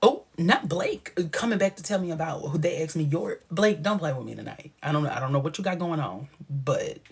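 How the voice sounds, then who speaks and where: Livestream host, female, 30s. Deep-pitched, rough-edged voice; colloquial, playful, informal chat. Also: animated, a little fast. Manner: colloquial, playful, affectionate slang. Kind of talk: casual livestream chat with viewers and a guest.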